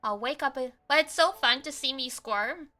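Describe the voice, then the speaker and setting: Woman voicing a weary, grumbling young man, bright-toned. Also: high-pitched, moderate pace, soft background music, voice pushed up. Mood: weary, grumpy. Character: weary, grumbling young man, dry-humored visual novel hero.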